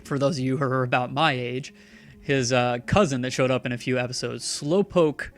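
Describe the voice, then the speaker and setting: A man's voice: medium pitch, moderate pace, faint music behind. A man streaming a video game, chatting loosely and sarcastically talking back to the characters on screen. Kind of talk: rambling live-stream commentary.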